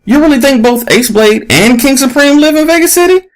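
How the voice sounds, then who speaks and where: A man's voice: extremely high pitch, lively intonation, a little fast, pitched above the performer's own voice. A man voicing a pushy, relentless female investigative reporter in an audiobook story, with an emphatic, probing delivery. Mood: excited, pushy.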